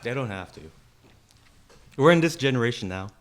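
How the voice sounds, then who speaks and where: A man's voice: medium pitch, expressive, moderate pace. A young man co-hosting a podcast, chatting casually and slangily with friends and often repeating himself as he agrees. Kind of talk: casual chat between friends.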